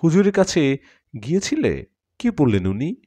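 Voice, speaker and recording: Caring college girlfriend performed by a male narrator, anxious and questioning, lifted pitch, audio-story dialogue. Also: huge pitch swings, moderate pace, dark tone, husky, full character voice. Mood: worried. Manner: concerned, questioning.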